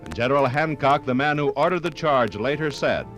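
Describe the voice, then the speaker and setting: A man's voice: medium pitch, expressive, fairly quick, fairly bright tone. A man giving solemn, dramatic scripted narration in a 1950s radio style. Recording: 1950s radio recording, music behind.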